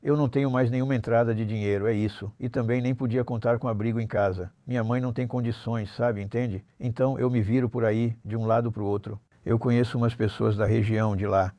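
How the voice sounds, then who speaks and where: A man in his seventies with a flat, mellow delivery, recorded close and dry. He tells his life story conversationally, in blunt everyday language peppered with tag questions. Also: medium pitch, fairly quick, hardly any pauses, dry recording. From Normandy, France. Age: 70s. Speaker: interview guest, former armed robber.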